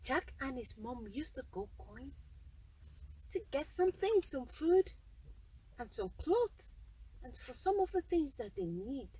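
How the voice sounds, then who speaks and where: Very slow, high-voiced woman storyteller. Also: sing-song, mellow, distant mic, rough recording. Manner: deliberate, pausing between short phrases. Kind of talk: children's bedtime story narration.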